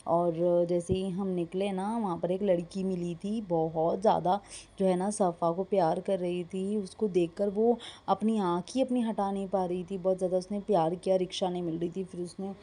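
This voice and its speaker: A young woman from India narrating a casual daily vlog with a hoarse, gravelly voice, chatty and full of fillers. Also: lowish voice, steady tone, normal speed, mellow. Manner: chatty, mixing in English phrases, many fillers. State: hoarse, sore throat. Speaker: vlogger.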